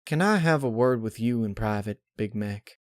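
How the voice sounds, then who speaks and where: A plain-spoken female farm pony, played by a man in a story reading, pitched a little above a man's usual voice. She sounds steady and folksy, talking things through calmly. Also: moderate pace, no room sound, studio quality, lightly acted. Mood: uneasy.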